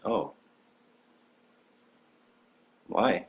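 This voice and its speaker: A man's voice: slow pace, highs cut off, natural voice. A young man playing a naive, idealistic alien youth in a dramatic reading, earnest and prone to stammering. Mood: innocent, puzzled. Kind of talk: dramatic fan fiction reading.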